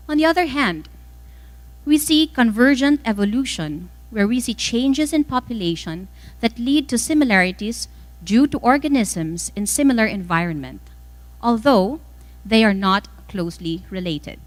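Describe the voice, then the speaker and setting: Filipino woman reading a formal speech. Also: on the high side. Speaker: guest speaker, former mayor. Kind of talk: formal speech read aloud from a script.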